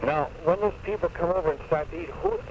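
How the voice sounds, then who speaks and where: A man's voice: a little high, fast talker. Man hosting radio through heavy static. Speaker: radio host. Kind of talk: live radio remote interview. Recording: noisy muffled 1970s AM radio cassette recording.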